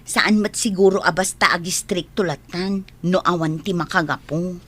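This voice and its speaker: A woman's voice: lowish voice, normal speed, fairly bright tone. Concerned young female friend. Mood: encouraging. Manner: concerned, familiar. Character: loyal, sympathetic young woman, friend and niece figure. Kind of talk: radio drama acting.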